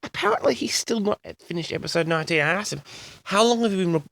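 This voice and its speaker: Theatrical man with a heavily creaky voice. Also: high-pitched, a bit bright, no echo. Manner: theatrical, addresses listeners as audience, drawn-out words. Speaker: host of a personal audio log. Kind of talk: rambling solo monologue to an audience.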